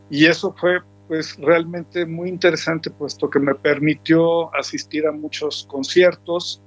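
A man, a musician guest speaking reflectively in a relaxed radio interview and often restarting his phrases. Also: on the high side. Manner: reflective, rambling with frequent restarts. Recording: dull lo-fi recording with faint music.

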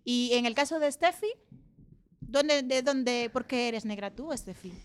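A woman's voice: fairly high pitch, measured pace, slight background noise. Playful woman hosting radio. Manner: playful, teasing, laughs often. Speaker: radio host. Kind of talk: casual radio interview with children. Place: radio studio.

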